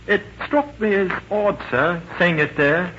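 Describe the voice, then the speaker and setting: Fussy servile steward, formal, high-pitched, apologetic, radio play, muffled old recording. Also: male voice, measured pace, a bit bright, a little hiss or hum. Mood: fussy, deferential. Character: polite, deferential, fussy male ship's steward. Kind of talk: 1940s radio acting.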